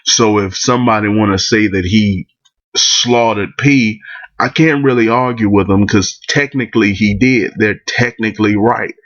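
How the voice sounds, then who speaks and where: A man's voice: on the low side, fairly bright tone, muffled recording. A man with a cold, rambling bluntly through his opinions in a casual monologue. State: catching a cold. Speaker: vlogger giving battle rap opinions.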